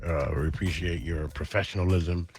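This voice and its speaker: A man's voice: deep voice, fairly even intonation, normal speed, darkish tone. A man hosting a casual radio show, chatting effusively and chiming in often. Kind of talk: casual talk radio chat. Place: radio studio. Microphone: studio microphone.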